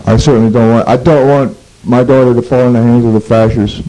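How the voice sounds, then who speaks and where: A hoarse man with a sore throat answering haltingly and quietly at a meeting, on an old archival tape. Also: medium pitch, steady tone, low-quality recording. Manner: halting, quiet, plain-spoken.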